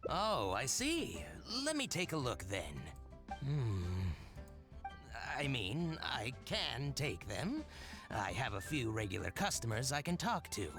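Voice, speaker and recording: A nervous video game shopkeeper, eager to please and easily flustered. His pitch leaps around while the delivery stays slow and halting. Music plays clearly behind him. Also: wildly swooping pitch, exaggerated voice. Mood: puzzled, accommodating. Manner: flustered, stammering, deferential.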